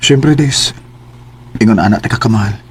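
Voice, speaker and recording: An actor playing an ardent, persuasive young soldier suitor in a radio drama, with a Cebuano accent. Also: male voice, fairly low pitch, moderate pace, natural voice. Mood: earnest, wooing. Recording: noisy radio drama track.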